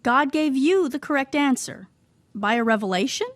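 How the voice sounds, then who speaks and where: An actress playing a polite, skeptical radio interviewer in an audio comedy, formal and easily flustered. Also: female voice, sits high, expressive, moderate pace, dry recording. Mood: politely skeptical.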